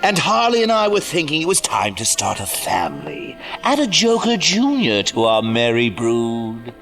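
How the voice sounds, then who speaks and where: A gleefully unhinged clown villain for an audio drama. His pitch swoops wildly as he talks with sing-song, mock-genial showmanship, over a music score. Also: wildly swooping pitch, moderate pace, fairly bright tone. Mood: gloating mischief. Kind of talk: audio drama character acting.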